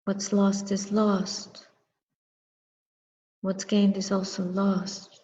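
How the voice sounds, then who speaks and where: A woman, a Buddhist meditation teacher, giving a guided reflection in a contemplative, measured manner, in short phrases with long pauses. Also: mid-range voice, little pitch movement, warm tone. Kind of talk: guided meditation and dharma talk. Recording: muffled recording with long reverberant echo.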